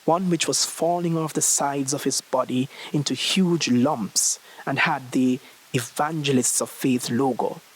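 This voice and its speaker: Story reader: young adult male, medium pitch, scripted literary narration. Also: breathy edge, poor audio.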